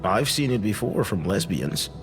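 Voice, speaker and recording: An actor playing a contemptuous, domineering police detective who talks in a condescending, accusatory way in a video game scene. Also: male voice, fairly low pitch, big pitch swings, normal speed. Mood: cold, probing. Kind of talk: dramatic video game cutscene acting. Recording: background music behind the voice.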